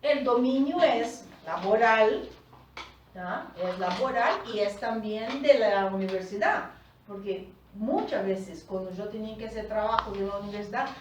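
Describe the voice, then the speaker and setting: Slow, echoey Portuguese-native woman coach. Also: medium pitch, noisy background. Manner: explains slowly, often checking in with "¿tá?". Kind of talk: teaching a group session. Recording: echoey room, far from the mic.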